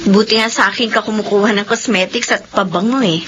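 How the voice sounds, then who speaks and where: An actress playing a caring, exasperated young older sister in a Filipino radio drama, earnest and emotional. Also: female voice, mid-range voice, fairly even intonation, quick pace, fairly bright tone, dull audio, slight background noise, natural voice. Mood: troubled.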